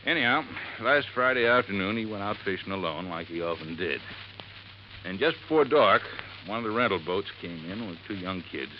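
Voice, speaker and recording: An actor playing a folksy, earnest boat-landing owner in 1950s radio drama, telling things in a plain, halting, emphatic way. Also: male voice, sits low, lively intonation. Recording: dull, noisy vintage radio recording.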